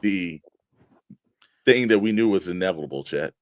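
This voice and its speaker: A man's voice: low-pitched, animated, moderate pace, dry recording. A man co-hosting a talk show, chatting casually and putting questions to his partner. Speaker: co-host of a toy collecting show.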